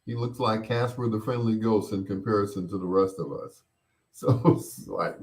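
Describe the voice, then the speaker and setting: A middle-aged man hosting a casual show, chatting and reminiscing in a rambling way, often pausing and repeating himself as he replies to listeners. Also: lowish voice, steady tone, darkish tone. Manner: unhurried, rambling, with pauses and repeats. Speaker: host of a bedtime stories show. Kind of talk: casual chat, reminiscing and replying to listener comments.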